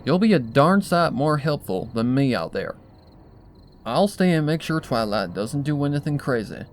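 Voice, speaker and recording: A man voicing a steady, stern female country pony with a plainspoken, folksy manner in a storybook reading. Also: medium pitch, normal speed, a little hiss or hum. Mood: firm.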